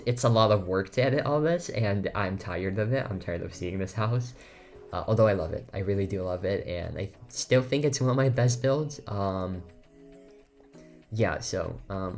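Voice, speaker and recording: A teenage boy whose first language is Lithuanian talks in a fairly low voice, chatting loosely over game footage. He rambles and restarts often, leaning on fillers like "um" and "like". Faint music plays quietly under his home-recorded voiceover. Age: teens. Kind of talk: casual voiceover chat over gameplay. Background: faint background music.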